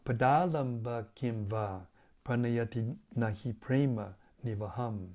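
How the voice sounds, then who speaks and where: A man with a hoarse, failing voice reading scripture and reflecting on it as a spiritual teacher, in measured devotional tones. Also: medium pitch, darkish tone. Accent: British English. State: losing his voice, hoarse.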